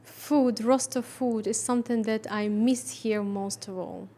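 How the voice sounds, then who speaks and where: Woman host, warm dark tone, short questions, lots of mm-hmm. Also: on the high side, steady tone, a little slow. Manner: short prompts and backchannel. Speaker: podcast host. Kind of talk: interview questions.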